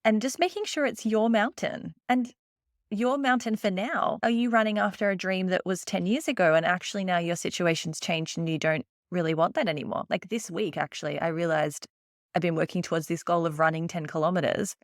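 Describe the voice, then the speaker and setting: A woman's voice: fairly low pitch, fairly quick, no echo, studio quality. An Australian woman guesting on a podcast, chatting casually and acting out her own thoughts in quotes. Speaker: podcast guest, agency owner. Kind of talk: casual interview chat.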